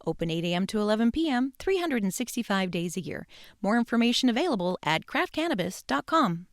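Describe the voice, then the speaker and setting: A woman's voice: medium pitch, very animated, fast, no room sound, clean studio sound. An American woman reading a scripted sponsor message for radio. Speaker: sponsor announcer.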